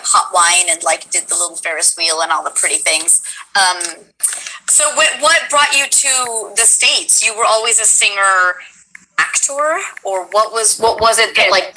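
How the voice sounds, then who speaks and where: A woman hosting a casual live stream, bubbly and excitable as she interviews her guest over a video call. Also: medium pitch, poor audio. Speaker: streamer host.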